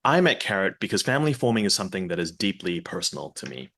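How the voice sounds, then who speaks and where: A man who is a company executive, delivering a scripted, prerecorded video message in a measured way. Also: sits low, big pitch swings, vocal fry, fairly bright tone. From New Zealand.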